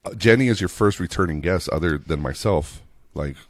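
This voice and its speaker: A man talking as a musician guest in casual podcast chat, halting and rambling, with a stuffy nose from allergies. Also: low-pitched, lively intonation, no room sound, studio quality. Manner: rambling, halting restarts, self-joking.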